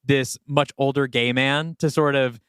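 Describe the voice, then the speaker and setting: A man co-hosting a podcast, thinking aloud in halting, casual phrases as he brainstorms. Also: medium pitch, steady tone, a bit bright, clear, resonant tone, no echo, clean studio sound. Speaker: podcast co-host. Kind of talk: casual brainstorming chat about a story.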